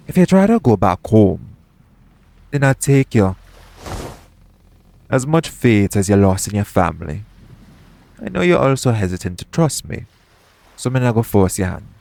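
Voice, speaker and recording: A man's voice: on the low side, vocal fry, darkish tone. A man playing a shady, persuasive pirate captain in an audio roleplay, bargaining straight to the listener in roguish, ungrammatical speech. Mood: firm bargaining. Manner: coaxing, bargaining, roguish dialect grammar. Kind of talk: audio roleplay acting, addressing the listener directly.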